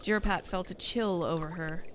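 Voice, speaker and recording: A woman's voice: lowish voice, moderate pace, background noise. A woman narrator reading a scripted audio story. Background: music.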